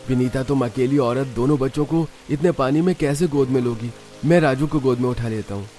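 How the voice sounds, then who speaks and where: Male actor as cartoon village father, worried, caring, poor, rain and soft music behind. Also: medium pitch, a little fast, natural voice. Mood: concerned.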